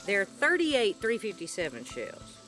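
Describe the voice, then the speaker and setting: A woman's voice: medium pitch, huge pitch swings, normal speed, fairly bright tone, soft background music. A middle-aged woman talking casually and practically on a family outing, explaining things as she goes. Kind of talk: casual talk on a home video. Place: outdoors by a creek.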